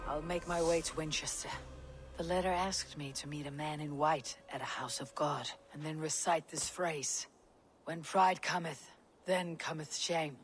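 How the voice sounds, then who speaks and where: A woman's voice: deep voice, fairly even intonation, measured pace, faint music behind. An actress voicing a bold, irreverent pagan Viking warrior woman in a video game, speaking bluntly, dryly and defiantly. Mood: measured, resolute. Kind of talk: dramatic video game acting.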